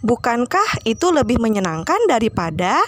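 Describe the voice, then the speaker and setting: A clever storybook mouse, played by a woman, with a raised, high voice. It speaks emphatically, scolding and persuading like a small creature that lives by its wits. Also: big pitch swings, moderate pace, a little hiss or hum. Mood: persuasive. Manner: emphatic, admonishing.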